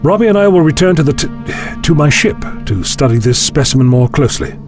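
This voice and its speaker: A man acting a learned, calmly authoritative time-travelling scientist in a science fiction audio drama, speaking in measured, formal phrases. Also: on the high side, over music, close to an everyday voice. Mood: decisive. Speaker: lead actor in a fan audio drama.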